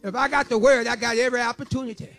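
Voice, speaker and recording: A man's voice: extremely high pitch, steady tone, racing, a bit bright, faint music behind. A man in his seventies preaching an impassioned sermon with emphatic, rhythmic repetition. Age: 70s.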